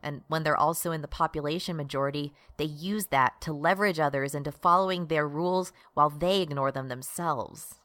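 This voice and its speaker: A woman's voice: sits low, fairly quick, hardly any pauses, fairly bright tone, no echo. A woman acting as an exasperated, righteous progressive activist in a satirical radio drama.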